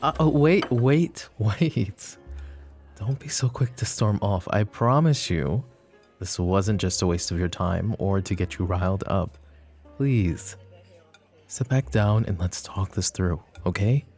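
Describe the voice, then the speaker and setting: Roleplay audio: a man as a smug mafia boss, low gravelly purr, warm tone, faint music under. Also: slow. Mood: earnest. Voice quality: gravelly, teasing, soft-spoken. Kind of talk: ASMR roleplay acting.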